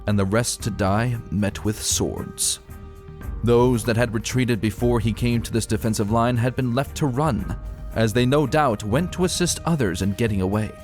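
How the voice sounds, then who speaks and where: A man narrating a fantasy story as a dramatic reading, solemn and deliberate in his storytelling. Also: medium pitch, a little mellow, faint music behind.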